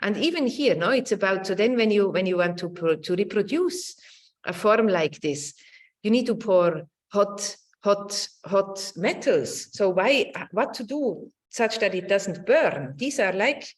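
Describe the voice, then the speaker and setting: A middle-aged woman lectures in a semi-improvised academic way. Her pitch is mid-range but moves around in a very lively way. She feels for her words haltingly and often tags a phrase with a small question. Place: indoor room, online presentation.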